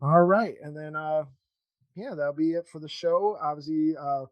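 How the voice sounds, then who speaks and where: A man hosts a relaxed podcast interview. His voice is a little high and recorded very dry. He speaks haltingly and informally, rambling his way from one point to the next. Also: dry recording, dull audio. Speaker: podcast host. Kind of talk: casual interview chat.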